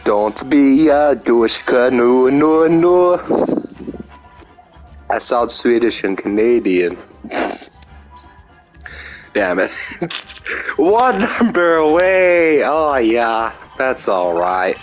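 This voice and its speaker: Young male gamer, drawling and unhurried, goofy self-mocking chatter, light music bed. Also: medium pitch, sing-song. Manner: rambling, self-mocking, breaks into silly sing-song chants. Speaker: gameplay commentator. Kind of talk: casual gameplay commentary.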